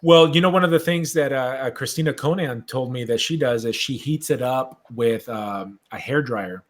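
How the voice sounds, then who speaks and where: An excitable man hosts a relaxed chat among hobby friends. He reacts quickly and speaks with a mid-range voice. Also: medium pitch. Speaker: host. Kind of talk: casual chat about custom action figures.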